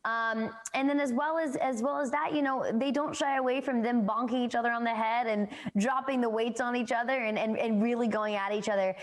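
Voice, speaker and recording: A woman's voice: fairly high pitch, fairly even intonation, brisk, few pauses, poor audio. A woman speaking enthusiastically as a fan in an interview soundbite, with frequent fillers.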